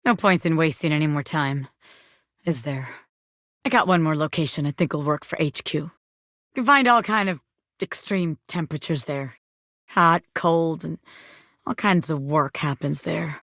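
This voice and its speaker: A woman voicing a cocky, bossy small-time gangster boss, a living pin in a video game, who talks tough but has a soft spot. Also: low voice, moderate pace, no room sound, clean studio sound. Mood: bossy, subdued. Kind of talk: video game character voice acting.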